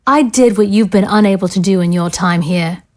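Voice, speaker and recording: A woman's voice: mid-range voice, darkish tone, natural voice. A woman acting in an audio drama as a cold, ruthless scientist in authority, speaking in formal, sardonic phrases. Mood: smug, threatening. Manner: formal, sardonic, measured wording.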